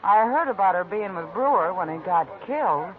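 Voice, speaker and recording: A woman playing a calm worldly saloon woman. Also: mid-range voice, brisk, close to an everyday voice. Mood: guarded. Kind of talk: 1950s radio acting. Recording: tinny old radio broadcast with hiss.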